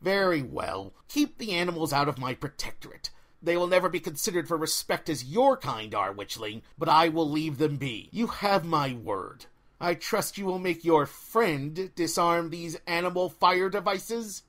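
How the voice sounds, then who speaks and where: A man's voice: fairly high pitch, lively intonation, normal speed, a bit bright. A man voicing a haughty, curious female forest guardian in an audiobook, stately and formal in manner. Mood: haughty, stern. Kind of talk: audiobook character voice.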